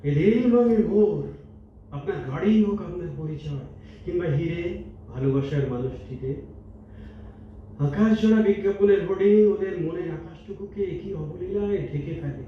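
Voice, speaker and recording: A man's voice: high voice, normal speed, natural voice. A man playing a tender, reflective old retired teacher in an audio drama, speaking poetically and wistfully. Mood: wistful, reproachful. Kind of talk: Bengali stage audio drama. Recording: muffled, echoey hall from far off, noisy.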